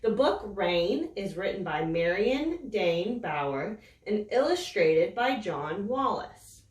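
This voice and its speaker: A woman reading a picture book aloud to children in a slow, expressive, sing-song storytelling voice. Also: mid-range voice, recorded from a distance. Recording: roomy echo, voice set back from the mic.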